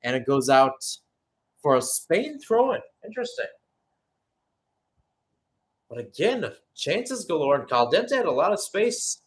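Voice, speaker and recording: A man's voice: a little high, very animated, a little slow, long pauses. A man giving live radio-style football commentary as the play-by-play caller, conversational and thinking aloud between bursts of calling the action.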